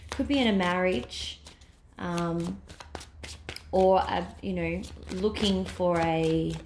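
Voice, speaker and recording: Soft-spoken woman with a fading voice. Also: fairly low pitch, slow, slight background noise. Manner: thinking aloud with many ums, soft whispered asides. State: losing her voice from a cold. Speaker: tarot reader. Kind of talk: casual tarot reading to camera.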